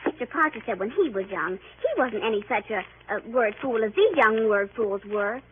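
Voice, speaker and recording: A woman's voice: medium pitch, moderate pace, lightly acted. A woman playing a chatty, stubborn little girl who tattles earnestly and literally, in old-time radio comedy. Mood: innocent, insistent. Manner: rambling, literal-minded, insistent tattletale. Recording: tinny, noisy old radio broadcast.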